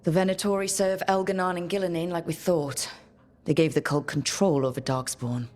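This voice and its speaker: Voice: medium pitch, fairly even intonation, normal speed, a little mellow, natural voice. An actor playing a resolute young hero and leader in a fantasy video game, direct and determined. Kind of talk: dramatic video game acting. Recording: faint music behind.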